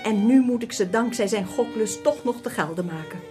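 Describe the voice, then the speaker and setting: A woman's voice: fairly high pitch, normal speed, over music, close to an everyday voice. An actress playing a genteel, scheming widowed lady in a radio drama, speaking politely and formally. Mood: gracious, composed.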